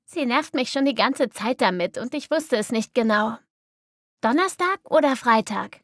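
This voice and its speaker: Woman acting as put-upon helpful daughter, raised pitch, dry studio sound, language lesson dialogue. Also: lively intonation, normal speed, no room sound, lightly acted. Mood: mildly exasperated. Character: obliging, slightly exasperated daughter relaying mother's questions. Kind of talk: acted language-learning dialogue.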